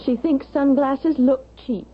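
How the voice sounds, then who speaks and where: An actress playing an anxious, dutiful young daughter in a 1970s radio drama. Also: female voice, high voice, fairly even intonation, unhurried. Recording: muffled, hissy vintage radio.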